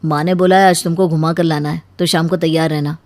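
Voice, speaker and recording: A kind young husband from a cartoon family story, put on by a woman. The voice is lowered, and the manner is gentle, affectionate and caring. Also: steady tone, fairly quick, close to an everyday voice. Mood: matter-of-fact. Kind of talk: animated Hindi moral story voice acting.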